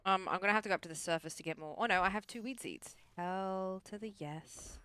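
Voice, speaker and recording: A woman's voice: medium pitch, brisk, sharp tone, smooth. A woman chatting casually on a gaming livestream, thinking aloud as she talks herself through choices. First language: English. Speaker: streamer, therapist answering questions.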